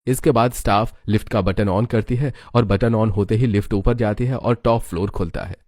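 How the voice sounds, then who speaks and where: A male storyteller with a fairly low voice reads scripted narration. He describes scenes in the manner of a moral tale. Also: fairly even intonation, moderate pace, darkish tone, no echo, clean studio sound.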